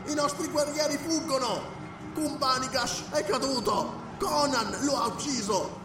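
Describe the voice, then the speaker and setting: A man's voice: extremely high pitch, measured pace, voice pushed up. A man voicing a wounded, panicked male priest crying out through a crystal orb, in a frantic wailing manner. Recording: echoey and distant, loud music behind.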